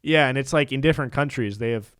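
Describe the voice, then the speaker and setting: A man's voice: medium pitch, quick pace, a bit bright, clear, resonant tone, no room sound, studio quality. A young man co-hosting a podcast in casual chat, laughing often as he reads out lists and adds asides. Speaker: podcast co-host.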